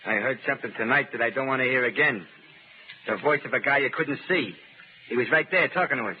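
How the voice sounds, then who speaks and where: A man's voice: mid-range voice, fast, close to an everyday voice. A man playing a nervous small-time American crook in a 1930s radio drama, whining and anxious in slangy speech. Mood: shaken. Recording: tinny, narrow-band noisy 1930s radio recording.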